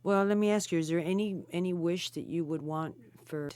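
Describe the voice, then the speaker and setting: A middle-aged woman asking questions in a gentle, conversational interview style. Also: lowish voice, a little fast, clean tone. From United States. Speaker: interviewer, daughter of the guest.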